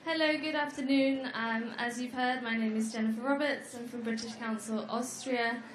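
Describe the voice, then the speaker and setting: A young woman giving a friendly presentation at an education fair, explaining slowly with small fillers. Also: on the high side, fairly even intonation. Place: large echoey exhibition hall. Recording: echoey hall.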